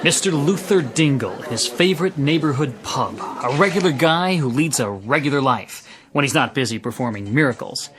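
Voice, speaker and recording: An actor playing a showy eyewitness news reporter in a radio drama, with a dramatic announcer's delivery. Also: male voice, mid-range voice, animated, normal speed, fairly bright tone, lightly acted. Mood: smooth, grandiose.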